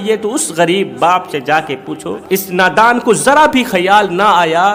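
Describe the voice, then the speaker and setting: A man's voice: sits high, fairly bright tone. A man preaching a moral story in a dramatic, emotive way, emphatically repeating his phrases. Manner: emphatic, rhetorical repetition. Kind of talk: Urdu-style religious sermon storytelling, oratory. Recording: long hall-like reverb on voice.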